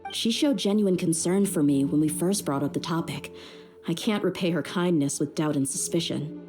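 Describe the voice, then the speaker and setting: A woman's voice: low-pitched, normal speed, mellow, soft background music, lightly acted. An actress playing a young female mercenary bodyguard in a game, speaking frankly and directly. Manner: frank, direct, plain-spoken. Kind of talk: game voice acting.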